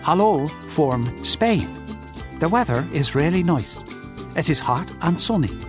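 An actor reading letters as a blunt, chatty young schoolboy with a simple, childlike way of talking. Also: male voice, a little high, expressive, unhurried, music in the background. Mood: chatty, matter-of-fact. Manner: childlike, simple run-on telling. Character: young schoolboy writing blunt, chatty notes to his friend.

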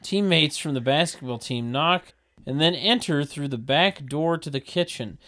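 A man narrating a comedy table read in a deadpan way, with mocking sardonic asides. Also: fairly high pitch, moderate pace, no room sound, studio quality. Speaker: narrator reading stage directions.

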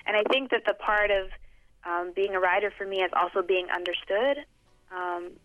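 A young woman from Boston, a poet being interviewed, speaking in a measured, thoughtful way with frequent hesitant "um"s. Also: medium pitch, fairly even intonation, no room sound. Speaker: guest poet. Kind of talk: interview conversation. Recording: dull lo-fi dry recording.

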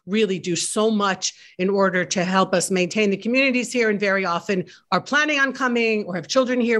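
A woman's voice: mid-range voice, a bit bright. A woman with a mid-range voice hosts a webinar conversation. She sets up her questions at length, piling on examples before asking. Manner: probing, builds long questions. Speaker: host. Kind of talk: interview on a webinar.

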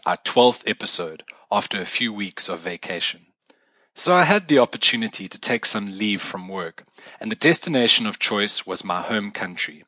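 Male South African host, scripted music commentary, forceful low voice, close and dead-dry, a touch lo-fi. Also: dry recording. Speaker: podcast host. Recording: dull lo-fi dry recording.

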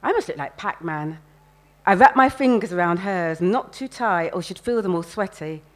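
A woman's voice: on the low side, expressive, normal speed. A woman, an author reading first-person fiction aloud expressively at a live event.

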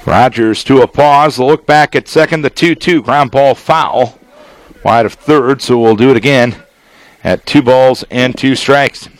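A man with an American accent giving live baseball play-by-play on the radio, in measured, descriptive phrases with pauses between them. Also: medium pitch. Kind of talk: live sports play-by-play commentary.

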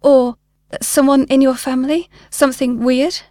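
A woman's voice: sits high, level tone, moderate pace, mellow, no room sound, pitched above the performer's own voice, close to an everyday voice. A woman voicing a worried, skeptical man looking for answers in a short story, guarded and questioning. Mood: tentative, searching. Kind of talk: short story character dialogue.

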